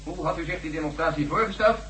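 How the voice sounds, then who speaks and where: An actor playing a brisk, blunt male army general in an old radio play. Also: fairly high pitch, a bit bright, a little hiss or hum, natural voice, poor audio. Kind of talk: 1970s radio drama acting.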